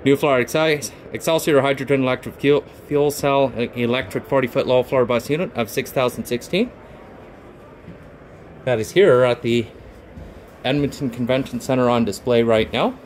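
A Canadian man filming a casual tour of buses on display, excited and halting, rattling off model details with restarts. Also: medium pitch, a little hiss or hum. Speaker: transit vlogger. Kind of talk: casual touring vlog. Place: bus display in a convention centre, indoors.

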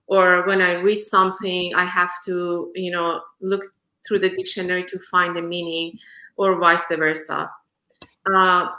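A middle-aged woman, a native Farsi speaker, answering thoughtfully on a panel over a video call, pausing on "uh" and "um". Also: mid-range voice, sharp tone. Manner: measured, with frequent "uh" and "um". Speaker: panel artist. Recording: roomy video call audio.

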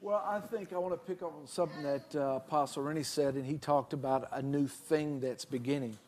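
A man's voice: on the high side, a little fast, a little mellow. A middle-aged man, a pastor, preaching in a declamatory, prophetic way as a guest on a television panel. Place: large TV studio set with audience.

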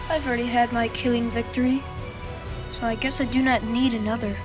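A woman voicing a smug male robot villain who gloats about his return in a low, hushed way in a homemade toy stop-motion film. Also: flat delivery, a little fast, over music, close to an everyday voice. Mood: gloating.

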